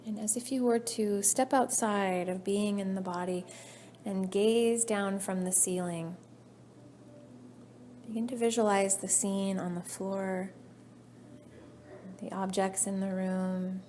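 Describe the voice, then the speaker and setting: Woman doing guided meditation, mellow n soothing, pretty flat pitch, phrases trail off soft, bit of background noise. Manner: soothing, measured, trailing off softly at phrase ends. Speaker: yoga nidra teacher. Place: indoor class room. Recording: faint background noise, live class recording.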